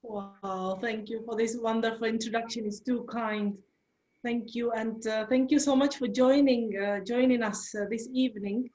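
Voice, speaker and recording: A woman's voice: a little high, a little mellow. A woman with a Czech accent, a guest speaker giving an enthusiastic, chatty workshop talk over a video call. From Czech Republic. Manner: enthusiastic, chatty, many fillers.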